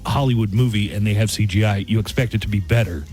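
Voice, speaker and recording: A man's voice: fairly low pitch, fast, a little mellow, soft background music. A middle-aged man hosting a morning radio show, chatting casually with wry, dry jokes. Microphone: studio microphone.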